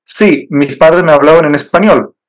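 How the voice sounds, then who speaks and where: A man from Israel whose first language is Hebrew, speaking in a measured, hesitant way with fillers as an interview guest telling his faith story. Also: a little high, low-quality recording. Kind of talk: personal faith testimony.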